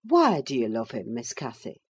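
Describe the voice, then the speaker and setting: A woman's voice: extremely low pitch, huge pitch swings, moderate pace, husky, pitched below the performer's own voice, heavily acted. A woman voicing a no-nonsense, sardonic female servant in a dramatised audiobook, dry, sententious and scolding. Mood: stern, quizzing. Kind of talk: dramatised audiobook reading of a classic novel.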